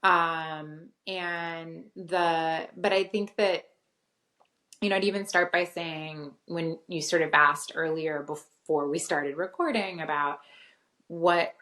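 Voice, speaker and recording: A woman's voice: low-pitched, fairly even intonation, slow pace, fairly bright tone. A middle-aged woman from Costa Rica, raised in the US, answering interview questions in a thoughtful way with many fillers and restarts. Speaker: guest, theology professor. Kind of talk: conversational interview.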